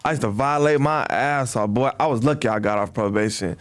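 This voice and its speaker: A man hosting a casual interview, relaxed and encouraging, with slangy questions. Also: mid-range voice, a little fast. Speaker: podcast host.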